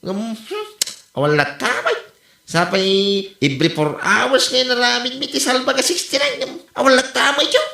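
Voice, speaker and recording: A woman with an Ilocano Filipino accent acting a gullible, excitable husband in an amateur comedy audio drama. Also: sits high, moderate pace, vocal fry, heavily acted. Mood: excited. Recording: roomy, echoing amateur podcast recording.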